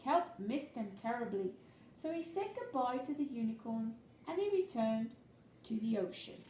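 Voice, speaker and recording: A woman reading a picture book aloud to young children in an expressive, deliberate way, drawing out words for emphasis, recorded in a living room. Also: on the high side. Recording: echoey living room, faint background noise.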